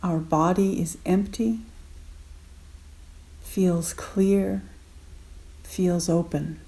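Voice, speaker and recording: A woman leads a guided meditation in a fairly low, dark and relaxed voice. Her pace is extremely unhurried, with short, gentle phrases set apart from one another. The endings soften into breathiness. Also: creaky, rough recording.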